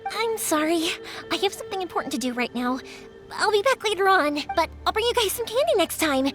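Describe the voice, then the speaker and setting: A woman's voice: extremely high pitch, animated. A woman voicing a spirited young fireworks-maker in a game, earnest and caring. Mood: gentle. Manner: earnest and caring, quick to worry. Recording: game dialogue over loud music.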